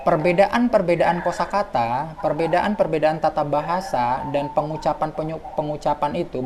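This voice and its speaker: Man lecturing on a noisy radio line. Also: a little high. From Medan, North Sumatra. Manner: explanatory, many fillers and restarts. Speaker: guest speaker on language.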